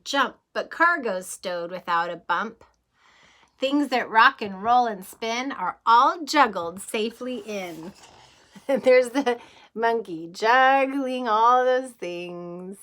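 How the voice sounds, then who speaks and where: A kindergarten teacher, a woman, reading a picture book aloud to young children, gently and expressively, pausing to ask them questions. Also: on the high side.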